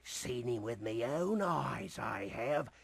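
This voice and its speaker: An actor playing a gruff old sea captain with a gravelly, raspy voice and a salty dialect in a cartoon game. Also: male voice, medium pitch, expressive, slow, dry recording, full character voice. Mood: grumbling, ominous.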